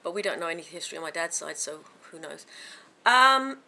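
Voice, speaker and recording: A woman vlogging to camera from home, with a croaky voice from a sore throat, chatting in a rambling way with many fillers and restarts. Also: fairly low pitch, expressive, brisk, sharp tone, rough recording. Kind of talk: casual chat to camera.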